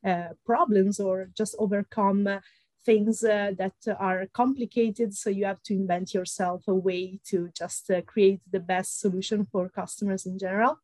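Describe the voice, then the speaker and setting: A woman in her thirties with an Italian accent, answering interview questions thoughtfully with frequent pauses. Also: medium pitch, fairly even intonation, darkish tone, dry recording. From Italy. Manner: thoughtful, many "uh" pauses, light laughs. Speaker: guest, pre-sales consultant.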